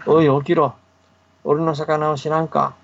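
A man voicing a greedy, gluttonous male crow that quickly turns angry and gruff, heard over a muffled remote line. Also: fairly high pitch, little pitch movement. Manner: gruff, blustering demands.

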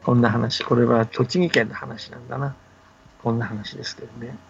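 An older man, a folklore researcher, talking in a relaxed, folksy, explanatory way with a gravelly, husky voice. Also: medium pitch, normal speed, muffled recording, soft background music. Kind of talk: relaxed explanatory radio talk.

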